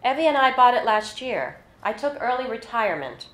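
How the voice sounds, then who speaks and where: A woman's voice: a little high, big pitch swings, a little fast. A woman reading the part of a nervous, awkward, greying early-retired husband from a short story. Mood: proud, nervous. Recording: roomy, echoey live event recording.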